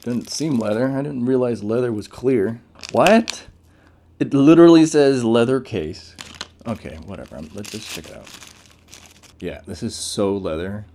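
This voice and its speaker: A man's voice: on the low side, vocal fry, mellow, slight background noise. A man giving casual, off-the-cuff unboxing commentary as a tech reviewer, laid-back and informal with plenty of slang.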